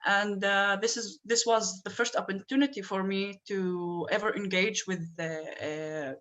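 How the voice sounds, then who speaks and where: A woman's voice: mid-range voice, fairly even intonation, a little slow, fairly bright tone. A woman in her twenties from northern Palestine, talking on an online panel with many fillers. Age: 20s. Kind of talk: online event talk. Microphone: video call.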